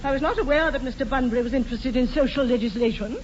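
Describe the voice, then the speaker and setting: A woman's voice: high voice, racing, natural voice. A woman playing a pompous, domineering old aristocratic aunt with an upper-class English accent in a 1940s radio comedy. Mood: haughty, indignant. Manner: pompous, emphatic, grandly disapproving. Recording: dull, noisy 1940s radio broadcast.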